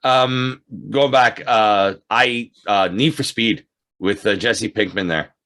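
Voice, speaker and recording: A man's voice: fairly low pitch, slow pace, pushed and bright. A man in casual conversation as a guest movie reviewer, agreeable and backing up the other speaker. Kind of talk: casual chat.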